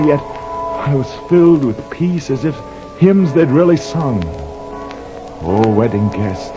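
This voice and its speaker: A man acting a slow, solemn, haunted mariner. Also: mid-range voice, close to an everyday voice. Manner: slow, solemn, impassioned, poetic delivery. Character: haunted old mariner telling his penitent tale, fervent and grave. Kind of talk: dramatic radio-play verse narration. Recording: dull lo-fi radio recording, clear noise.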